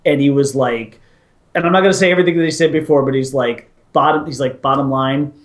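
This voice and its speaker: A man's voice: mid-range voice, fast talker, roomy sound. Guy reviewing movies on a chat show, gets all hyped telling stories. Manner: enthusiastic, animated storytelling. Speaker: podcast co-host, movie reviewer.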